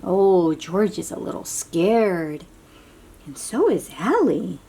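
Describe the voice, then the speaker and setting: A woman's voice: fairly low pitch, slight background noise. A woman reading a picture book aloud to young children, dramatically, with asides and questions to the listeners. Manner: expressive, drawn-out, dramatic delivery with hushed moments.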